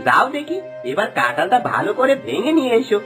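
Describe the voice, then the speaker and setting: A woman's voice: mid-range voice, sing-song, fast talker, fairly bright tone. A woman voicing a greedy, sly village husband in a theatrical, exclaiming cartoon manner. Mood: dismissive. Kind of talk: Bengali cartoon dubbing. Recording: music under the voice.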